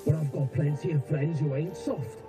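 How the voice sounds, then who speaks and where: An actor voicing a cocky, rough bandit in a video game, casual and taunting. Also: male voice, a little high, normal speed, distant mic, music in the background, natural voice.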